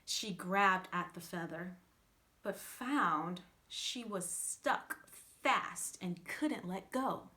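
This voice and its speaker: A woman reading a fairytale aloud as a children's storyteller, with theatrical, drawn-out emphasis. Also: on the low side, animated, pushed and bright. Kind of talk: scripted fairytale narration for children.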